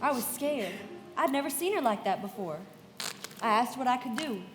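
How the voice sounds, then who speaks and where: A woman playing a poised young society woman who tells a story in measured, confiding narration in a live radio play, in a reverberant hall with music behind. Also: a little high, lively intonation, lightly acted. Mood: concerned, recounting.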